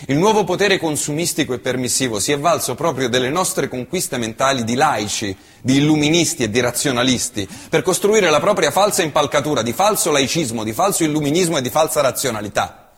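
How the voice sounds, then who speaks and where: A male stage actor delivering a theatrical monologue, impassioned and shifting from hushed tones to loud bursts. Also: fairly high pitch, lively intonation, a little fast, slightly rough, long reverb tail. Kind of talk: theatrical monologue reciting an essay.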